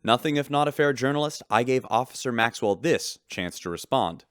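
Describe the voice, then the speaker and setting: A man's voice: medium pitch, lively intonation, fairly quick, fairly bright tone, smooth, dry recording, clean studio sound, lightly acted. An actor playing an affable, earnest radio newsman reporting in a sci-fi audio drama. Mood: earnest newscaster. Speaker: radio host.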